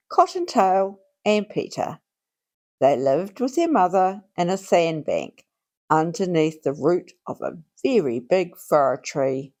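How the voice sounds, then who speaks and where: Slow, animated woman reading children's stories. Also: lowish voice. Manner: expressive, deliberate delivery for young children. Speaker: children's story channel host and reader. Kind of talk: read-aloud children's storytelling.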